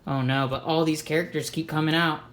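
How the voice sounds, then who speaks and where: An expressive storybook reader doing an animated read-aloud for children, explaining and asking questions along the way. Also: medium pitch, brisk.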